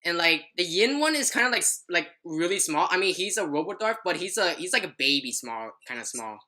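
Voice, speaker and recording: A woman's voice: on the low side, sing-song, a little fast, pushed and bright. A young adult woman telling a personal story in casual podcast chat, rambling and often stumbling over her words.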